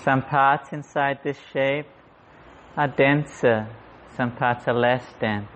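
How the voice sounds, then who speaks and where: A man guiding a meditation in a gentle, unhurried manner, leaving long pauses. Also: medium pitch, steady tone. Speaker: meditation guide. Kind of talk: guided meditation. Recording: muffled audio with clear background noise.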